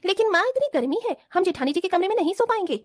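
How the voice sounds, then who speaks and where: A woman's voice: very high-pitched, expressive, fast talker, no echo. A woman playing spoiled, work-shy young rich daughters-in-law in a cartoon family drama, in a whiny, complaining manner. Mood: whiny, pleading.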